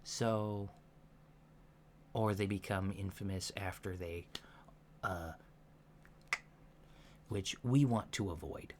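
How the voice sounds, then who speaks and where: A man playing an earnest, matter-of-fact alien instructor in human disguise, speaking softly and deadpan in an ASMR roleplay lecture. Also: deep voice, takes it slowly, long pauses. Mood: calm, instructive. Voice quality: soft, hushed voice. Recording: lo-fi with steady background noise.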